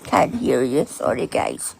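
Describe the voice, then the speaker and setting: Hoarse Scottish woman, very slow and gravelly. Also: rumbling low, wildly swooping pitch, darkish tone, a little hiss or hum, poor audio. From Scotland. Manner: slow, laughing, apologetic. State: lost her voice, hoarse. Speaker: guest caller. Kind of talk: casual chat.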